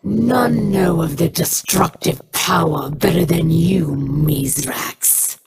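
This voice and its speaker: A woman's voice: on the low side, slow pace, creaky, over music. A woman voicing a proud, menacing alien rebel warlord in a video game, solemn and declamatory. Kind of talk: scripted game voice acting.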